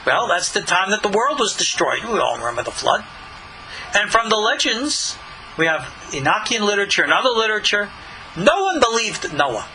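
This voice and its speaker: A man's voice: high-pitched, pushed and bright, a little hiss or hum, rough recording. A man, a rabbi, teaching a text in a conversational lecture style, full of rhetorical questions and asides.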